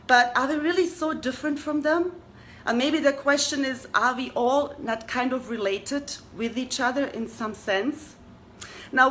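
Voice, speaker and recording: A young woman hosting a live talk, her voice high and bright. She addresses the audience earnestly and poses rhetorical questions, with a faint hiss of noise behind her. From Germany. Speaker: talk show host.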